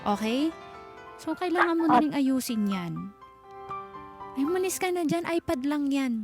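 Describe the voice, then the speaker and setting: A woman with a fairly high voice and a Filipino accent gives frank, scolding radio advice. She keeps checking that she is understood, with some music underneath. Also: animated, unhurried, darkish tone. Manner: blunt, scolding, repeatedly checks for agreement. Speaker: radio advice show host. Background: background music.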